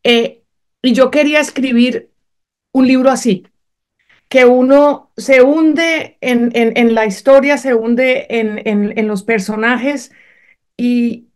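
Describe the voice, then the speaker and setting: A woman's voice: fairly high pitch, fairly even intonation, slow pace. A woman whose first language is German, speaking hesitantly with many fillers and restarts as an author being interviewed. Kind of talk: conversational interview.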